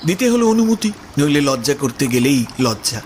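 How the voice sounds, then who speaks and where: A man's voice: on the high side, sing-song, moderate pace, a little mellow, a little hiss or hum. A man narrating a literary story expressively for an audio drama.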